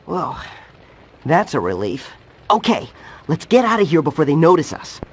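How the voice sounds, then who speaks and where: Earnest high-pitched teenage hero. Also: male voice, sing-song, normal speed, breathy edge. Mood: relieved. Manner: earnest, youthful, determined. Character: heroic teenage ghost boy, earnest and determined. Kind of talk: cartoon video game acting.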